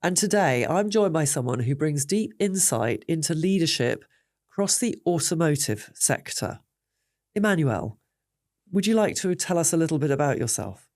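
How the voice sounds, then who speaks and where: A woman hosting an interview series, asking questions in a brisk, prompting way. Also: low voice, animated, darkish tone, no room sound, studio quality. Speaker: host.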